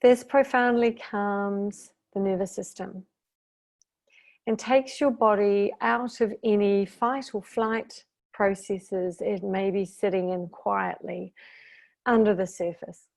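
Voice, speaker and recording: A woman guiding a meditation as a teacher, speaking soothingly and gently in short phrases with long pauses. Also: mid-range voice, fairly even intonation, gravelly. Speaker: guided meditation teacher and intuitive therapist. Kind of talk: guided meditation, slow calming instruction.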